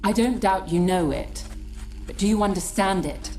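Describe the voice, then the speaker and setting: A woman's voice: mid-range voice, moderate pace, darkish tone, faint music behind, natural voice, low-quality recording. An actress playing a cryptic female seer in a video game, grave and portentous. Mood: solemn, foreboding.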